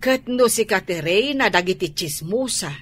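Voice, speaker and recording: A woman's voice: mid-range voice, animated, moderate pace, breathy edge. A woman playing a blunt, bossy busybody who nags emphatically in a radio drama. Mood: mocking, nagging. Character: bossy, blunt, scolding female cousin and busybody.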